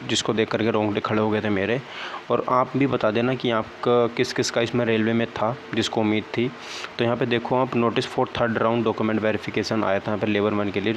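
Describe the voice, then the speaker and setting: A young man talking casually to viewers as he explains things, sounding disappointed and often adding small check-in tags. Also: fairly low pitch. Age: young adult. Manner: conversational, often checks in with "okay, right" tags. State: downcast, disappointed. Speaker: exam-prep vlogger. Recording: dull lo-fi sound, faint background noise.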